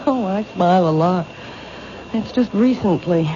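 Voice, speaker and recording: An actress playing a reserved, polite bookshop owner with a guarded manner in a radio drama. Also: female voice, on the low side, unhurried, lightly acted. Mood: shy, guarded. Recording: muffled old radio recording, faint noise.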